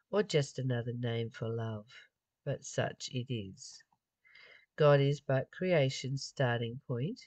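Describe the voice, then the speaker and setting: A mid-pitched voice reads and comments on spiritual study material. The delivery is unhurried and somewhat halting, with pauses inside phrases. The sound is dry, with very little room around it. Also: darkish tone, no room sound. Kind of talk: reading aloud with informal reflective commentary.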